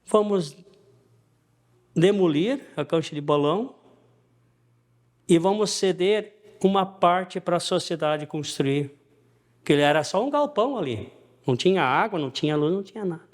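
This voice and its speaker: Older southern Brazilian man, higher voice. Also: animated, normal speed, pauses often. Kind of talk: interview reminiscence.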